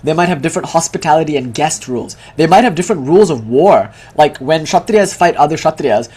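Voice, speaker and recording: A man's voice: a little high, lively intonation, fast talker, a little mellow, slightly rough. A man from Malaysia lecturing a live group as their teacher, explaining eagerly with frequent "like".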